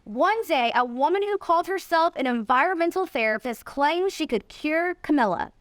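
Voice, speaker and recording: A woman reading a children's storybook aloud in an expressive storytelling way. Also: high-pitched, normal speed, dry recording. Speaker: volunteer reader, nonprofit executive.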